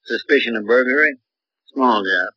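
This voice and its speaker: An actor playing a businesslike American police officer in a 1950s radio drama. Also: male voice, mid-range voice, moderate pace, bright, forceful tone, no echo. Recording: dull, faintly noisy old radio recording.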